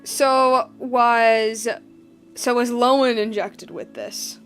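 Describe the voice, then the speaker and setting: A woman game streamer giving casual, animated reaction commentary on a playthrough, slangy and repeating her words. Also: fairly high pitch, drawn-out words, soft background music.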